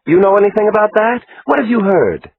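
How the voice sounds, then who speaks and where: A man's voice: high voice, animated, a little fast, creaky, slight background noise, voice pushed up. A man voicing an eager, pushy woman newspaper reporter in an audiobook. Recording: tinny phone-like sound.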